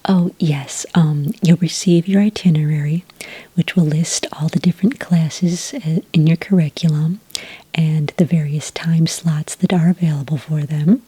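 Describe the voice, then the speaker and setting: Lady doing a friendly witch registrar for asmr roleplay, super breathy whisper, gentle n patient, really dry close sound. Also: sits low, fairly even intonation, no room sound, heavily acted. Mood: gentle, helpful. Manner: gentle, helpful, unhurried questioning. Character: friendly, patient adult witch working as academy enrollment clerk. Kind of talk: soft-spoken ASMR roleplay.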